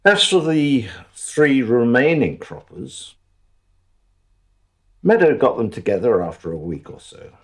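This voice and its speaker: An actor playing a wry male storyteller, narrating a radio drama with deadpan asides. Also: lowish voice, husky, exaggerated voice. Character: wry male storyteller looking back on events.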